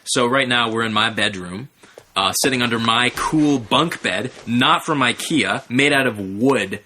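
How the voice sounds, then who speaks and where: A man's voice: mid-range voice, unhurried, pushed and bright, a little hiss or hum. A man chatting in a mock-serious, hesitant way on a casual comedy podcast, recorded in a bedroom. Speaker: podcast host and engineer. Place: bedroom, under a bunk bed. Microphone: shared podcast microphones.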